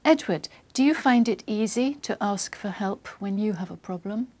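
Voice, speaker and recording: Lady examiner reading out test prompts, calm and formal, mid pitch. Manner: formal, clear and measured. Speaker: exam interlocutor. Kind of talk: scripted exam questions.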